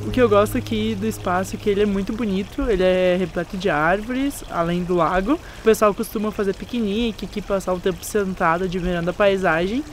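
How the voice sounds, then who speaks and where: A young woman from Brazil narrating a travel vlog in a calm, descriptive way, speaking outdoors at scenic spots. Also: mid-range voice, brisk, hardly any pauses, slight background noise. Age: young adult. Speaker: travel vlogger. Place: outdoors on a windy overlook and city streets. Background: background music.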